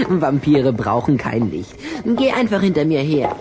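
A man's voice: a little high, exaggerated voice. An actor playing a cocky, impatient little vampire boy in a children's radio play, teasing and bossy. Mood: impatient, superior. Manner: teasing, bossy, self-satisfied.

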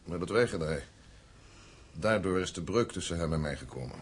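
A stern old grandfather in a radio play. His voice is low and rough with huskiness. He speaks bluntly and formally, with cool bitterness under the measured delivery. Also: animated. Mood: bitter, cool. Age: older. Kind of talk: radio drama acting.

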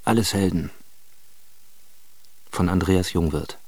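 Deep, slow male radio announcer. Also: dry recording. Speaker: announcer reading the cast credits.

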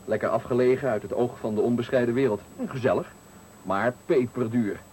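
An actor playing a sly, prying stranger in a radio thriller, speaking in a measured, insinuating way. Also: male voice, mid-range voice, darkish tone, lightly acted. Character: prying, sly stranger at a night bus stop. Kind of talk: 1980 Dutch radio thriller acting. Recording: noisy 1980 radio play recording.

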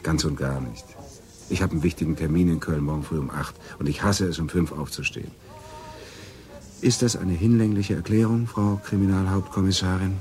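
An actor playing a charming, melancholic middle-aged lover in a radio drama, flattering and teasing in a theatrical way. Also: male voice, sits low, fairly quick, faint music behind, lightly acted. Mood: evasive, wry. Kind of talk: radio drama acting, intimate dialogue.

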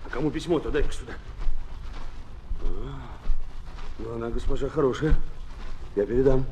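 A sceptical, grudging concierge acted by a man in an old radio drama. His mid-pitched voice mutters and grumbles over faint recording noise. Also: moderate pace, warm tone, close to an everyday voice, low-quality recording. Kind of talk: Soviet radio play acting.

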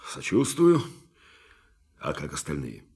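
An actor in a Russian radio play playing a laconic, drily sympathetic male colleague, offhand and unhurried, with a gravelly, husky voice. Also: rumbling low, wildly swooping pitch, a little mellow, full character voice. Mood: wry, offhand.

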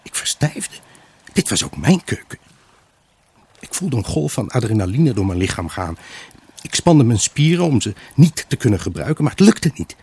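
A man's voice: mid-range voice, sing-song, normal speed, vocal fry, a little mellow, slightly rough, exaggerated voice. A man acting a troubled, brooding husband who tells of his unhappy marriage in a radio play monologue. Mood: brooding, subdued, then angry, agitated. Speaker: actor narrating a radio drama. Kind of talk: dramatic first-person monologue in a Dutch radio play.

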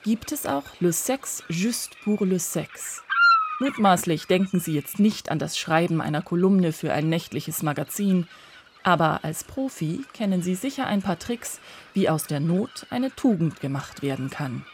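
Liveried gull messenger, radio drama, lowish pitch, formal, mannered, clear background noise. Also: female voice, animated, unhurried. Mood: mock-solemn. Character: liveried seagull messenger reading a courteous editor's note.

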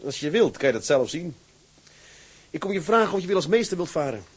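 A man's voice: on the high side, sing-song, a little fast, breathy edge. An actor playing a weary, earnest, fatherly merchant sea captain in a Dutch radio drama. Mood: brisk, friendly.

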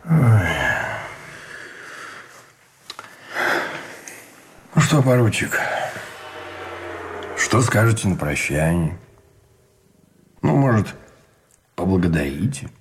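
An actor playing a cynical, smugly self-justifying imprisoned Russian officer in a radio drama, with a sardonic, theatrical drawl. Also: male voice, lowish voice, big pitch swings, slow pace, long pauses, slight background noise, exaggerated voice. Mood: mocking.

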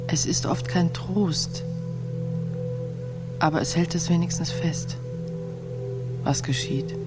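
An actress playing a compassionate, modest clinic carer in a radio drama, reflecting earnestly with measured pauses. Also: female voice, on the low side, steady tone. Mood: reflective, compassionate. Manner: measured, pausing, quietly earnest. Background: loud ringing music.

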